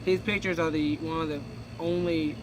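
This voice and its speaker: A man with a high-pitched voice reads from a history book, tripping over words and correcting himself as he goes. His delivery is casual and unpolished. Street and car noise can be clearly heard behind him. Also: steady tone, moderate pace. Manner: casual, stumbling reading with self-corrections. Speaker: reader. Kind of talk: reading a history book aloud with asides.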